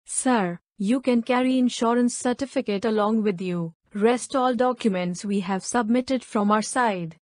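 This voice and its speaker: A woman's voice: on the high side, unhurried, hardly any pauses, a little mellow, natural voice. A woman playing a polite young hospital nurse in a scripted demo. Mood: polite, helpful. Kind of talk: scripted demo role-play.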